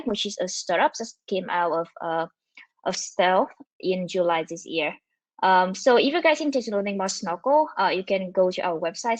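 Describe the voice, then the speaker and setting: A young woman from Vietnam with a Vietnamese accent, giving an online technical talk, talking quickly with many fillers and restarts. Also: on the low side, dry recording, rough recording. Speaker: conference speaker.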